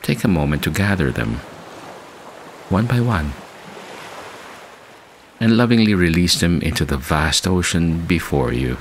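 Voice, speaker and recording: A man reading a scripted guided meditation in a soothing, measured way with long pauses. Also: sits low, lively intonation, a little hiss or hum. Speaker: meditation guide and podcast host. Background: background music.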